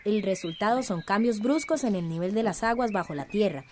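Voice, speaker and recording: A woman's voice: mid-range voice, animated, fairly quick, slight background noise, lightly acted. A woman playing an earnest, organized village activist in a radio drama, speaking emphatic Central American Spanish. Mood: earnest, urgent.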